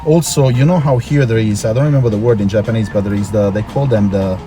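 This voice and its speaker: A man's voice: lowish voice, quick pace. A man from Italy chatting casually as an interview guest, explaining things at length with emphatic repetition. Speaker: interview guest, foreign worker in Japan. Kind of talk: casual street-interview chat. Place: in or by a car. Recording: music behind the talk.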